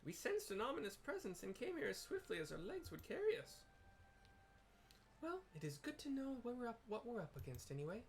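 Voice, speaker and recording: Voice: medium pitch, sing-song, brisk, slight background noise, pitched above the performer's own voice. A man voicing an upbeat, chatty young woman adventurer who is easily miffed in a fantasy game. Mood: cheerful, chatty. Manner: chatty, exclaiming.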